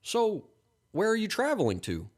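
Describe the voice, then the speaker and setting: A man's voice: sits high, huge pitch swings, moderate pace, pitched above the performer's own voice, exaggerated voice. A man voicing a friendly, pushy, folksy middle-aged stranger in an audiobook story, with a funny accent. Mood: chummy, curious. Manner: folksy, chummy, coaxing.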